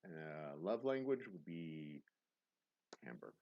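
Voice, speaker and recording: A man's voice: rumbling low, sing-song, takes it slowly, lo-fi sound, a little hiss or hum. A man hosting a trivia game night on a livestream, rambling with self-mocking jokes and restarts. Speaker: host reading trivia questions on a livestream. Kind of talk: casual live-stream banter.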